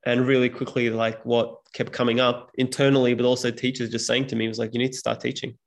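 Male podcast guest, Serbian first language, gravelly and even-toned, thoughtful and halting. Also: mid-range voice. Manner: reflective, hesitant with fillers. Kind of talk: casual conversation.

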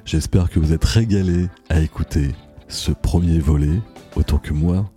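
A man's voice: very deep voice, moderate pace, warm tone, faint music behind. A man hosting a podcast, summing up his guest's ideas and closing the episode. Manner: thoughtful, sums up guest's ideas. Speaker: podcast host. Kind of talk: interview and show outro.

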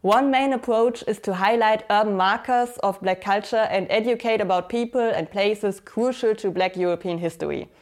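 A woman's voice: mid-range voice, moderate pace, hardly any pauses. Woman host reading a podcast intro. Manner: reads smoothly, then asks with fillers. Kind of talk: scripted intro, then interview questions.

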